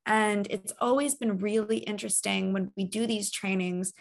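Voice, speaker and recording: Female interviewer, mid-range voice with noticeable vocal fry, measured and encouraging. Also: mid-range voice, fairly even intonation. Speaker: interviewer, mental health trainer. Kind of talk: conversational interview hosting.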